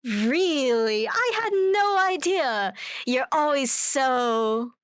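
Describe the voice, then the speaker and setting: High-voiced, playful young actor Artem, voiced by a woman. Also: lively intonation, very slow, no echo, studio quality, full character voice. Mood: teasing. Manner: playful, mock-deferential. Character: gentle, playful young actor named Artem, effeminate, teasing. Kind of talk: naturalistic radio play acting.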